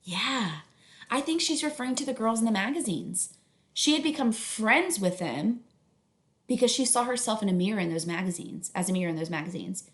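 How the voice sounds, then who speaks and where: A woman reading a children's book aloud as a teacher, instructive, pausing to put questions to students. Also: mid-range voice. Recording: echoey room.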